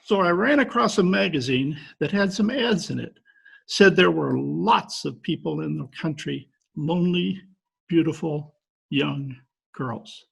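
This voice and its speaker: An actor playing a gullible, jilted fisherman from Maine in a radio play, halting and rueful, with a gravelly, husky voice. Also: fairly high pitch, heavily acted. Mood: lovelorn, rueful. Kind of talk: old-time radio drama acting. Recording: remote home recording over video call.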